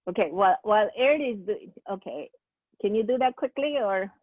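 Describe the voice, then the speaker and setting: A woman's voice: mid-range voice, expressive, no echo. Woman from Peru on a tinny call. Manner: halting, many fillers and restarts. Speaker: seminar host. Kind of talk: hosting a video-call Q&A. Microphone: video call. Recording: tinny phone-like call audio.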